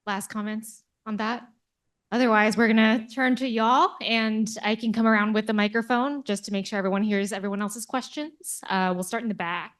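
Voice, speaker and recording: A woman's voice: mid-range voice, fairly even intonation, a bit bright. Woman moderator opening audience questions. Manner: brisk, organizing.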